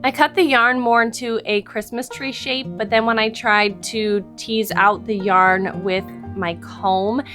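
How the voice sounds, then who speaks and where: A woman in her thirties, a little hoarse from losing her voice, explaining a craft project step by step in a friendly voice-over. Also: a little high, fairly even intonation, a little slow, few pauses, fairly bright tone. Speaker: crafting channel host. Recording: voice-over with background music.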